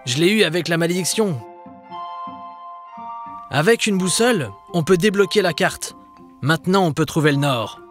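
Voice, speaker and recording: A cursed ghost ship captain, earnest and commanding, as a man acts him out for a kids' cartoon. His voice sits high for a man and gives steady orders. Light music plays underneath. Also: normal speed, long pauses, natural voice. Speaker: dubbing actor. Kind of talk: French cartoon dub.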